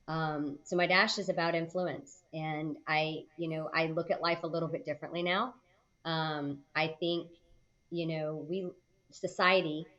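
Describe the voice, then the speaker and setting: A woman in her late forties talks as an interview guest in a low-pitched voice. She is reflective and conversational, speaking in short phrases and checking in with the listener. The recording is a little dull and lo-fi. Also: fairly bright tone. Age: late 40s. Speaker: podcast guest, senior healthcare leader. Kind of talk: conversational interview, personal storytelling.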